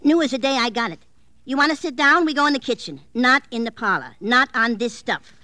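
A woman's voice: fairly high pitch, expressive, fairly quick, bright, forceful tone, no room sound. A woman playing a cranky, evasive older aunt in a radio drama, fussy and scolding, talking in clipped, colloquial fragments. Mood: fussy, defensive. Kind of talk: old-time radio drama acting.

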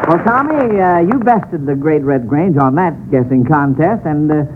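An American man hosting a 1940s radio quiz show with formal, measured announcing. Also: a little high, expressive. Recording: tinny, noisy old radio broadcast.

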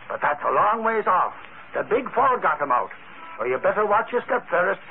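An excitable, rough working-class fellow in an old radio crime serial. His pitch is on the high side and swings around freely as he talks in blunt, ungrammatical slang. The recording is narrow and scratchy. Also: normal speed, heavily acted. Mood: excited. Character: rough, excitable working-class tough guy, dim but loyal.

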